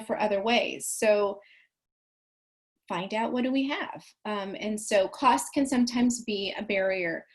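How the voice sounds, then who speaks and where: Woman trainer, a bit raspy, folksy and conversational on a video call. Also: mid-range voice. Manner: chatty, folksy, frequent ums and restarts. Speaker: counselor trainer leading a webinar. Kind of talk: online training presentation.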